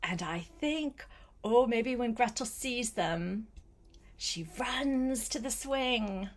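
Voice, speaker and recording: An older woman reading a picture book aloud to a small child, gentle and coaxing, with chatty asides spoken straight to the child. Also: a little high, animated. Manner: gentle, unhurried, coaxing, addresses the child directly.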